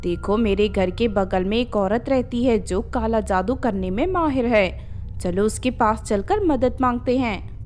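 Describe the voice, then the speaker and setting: A woman's voice: a little high, animated, measured pace, darkish tone, slight background noise. A woman voicing a kind, concerned female schoolteacher who speaks reassuringly in a children's story. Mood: resolved.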